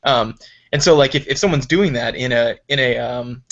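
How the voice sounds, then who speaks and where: A man co-hosting a casual podcast, halting and jokey as he searches for his words. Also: mid-range voice, dull audio. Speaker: podcast co-host. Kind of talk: casual chat.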